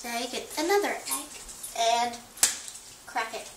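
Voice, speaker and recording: A woman's voice: fairly high pitch, a little slow, heavy background noise. A young girl hosting a playful home cooking show in her kitchen, chatting and telling viewers what to do. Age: child. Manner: instructing viewers directly, chatty. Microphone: camera across the kitchen. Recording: echoey kitchen, distant from camera.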